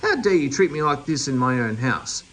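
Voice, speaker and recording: Man playing a muddled, indignant storybook gentleman, swooping pitch. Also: very animated, normal speed, a little hiss or hum. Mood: cross, indignant. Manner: exclamatory, demanding.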